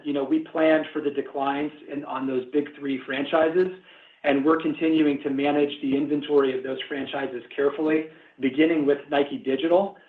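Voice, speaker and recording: A man speaking as a company executive on an earnings call, answering questions in measured, careful corporate phrasing. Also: a little high. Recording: thin phone-line conference call, roomy echo.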